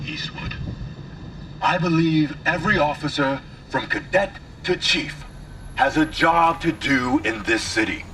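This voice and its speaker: A man playing a stern city mayor, giving a solemn, deliberate official speech in oratorical style. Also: sits high. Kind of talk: formal political speech. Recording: noisy broadcast heard from a distance.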